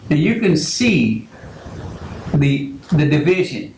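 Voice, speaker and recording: A man hosting a call-in radio show, talking in a slow, halting, rambling way. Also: on the high side, big pitch swings, creaky, a little mellow, roomy sound, a little hiss or hum, low-quality recording. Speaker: radio talk show host.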